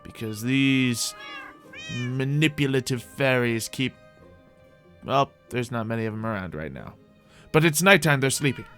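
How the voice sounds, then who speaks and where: A man's voice: mid-range voice, animated, measured pace, a bit bright, soft background music. A man hosting a livestreamed game roleplay, giving whimsical, rambling in-character commentary and talking to his audience in a theatrical way. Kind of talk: in-character gameplay commentary, chatting with viewers.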